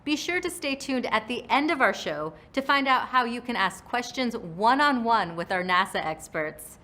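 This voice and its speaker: Woman, host, bright tone, fairly high voice, polished scripted delivery. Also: lively intonation, normal speed. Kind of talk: scripted bilingual hosting.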